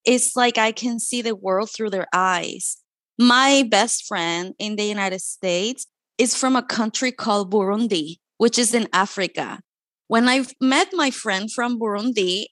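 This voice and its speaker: A woman's voice: a little high, fairly bright tone, no echo. A woman from Chile with a Chilean Spanish accent, speaking English as a second language and telling her own immigration story in an earnest, heartfelt, conversational way. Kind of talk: personal storytelling monologue.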